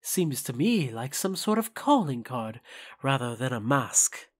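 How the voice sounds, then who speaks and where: A performer playing a regal, grave demon prince in an animated fan audio drama, formal, haughty and measured. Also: medium pitch. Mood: composed.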